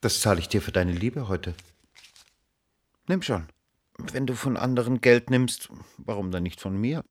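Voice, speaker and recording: An actor playing a cynical, worldly gentleman who narrates a literary radio drama in measured phrases. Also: on the low side. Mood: probing, sardonic.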